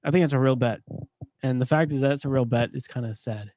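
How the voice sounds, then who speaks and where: A man's voice: medium pitch, fast, darkish tone, no echo. A man co-hosting a casual sports chat, joking and rambling as he tells stories. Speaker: podcast co-host.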